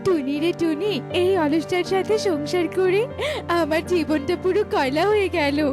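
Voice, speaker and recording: Woman playing a high-pitched, nagging cartoon crow wife. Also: normal speed. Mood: complaining. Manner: nagging, sarcastic, threatening. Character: scolding, sharp-tongued female cartoon crow wife. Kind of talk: children's cartoon voice acting. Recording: music behind the voice.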